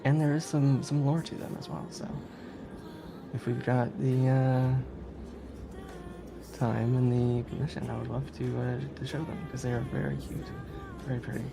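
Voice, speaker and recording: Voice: mid-range voice, flat delivery, normal speed, vocal fry, noisy background. A speedrunner giving casual live commentary on a game, chatty and giggly, with some hesitation while explaining. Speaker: speedrunner presenting a game run. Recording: game music behind the voice. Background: game music.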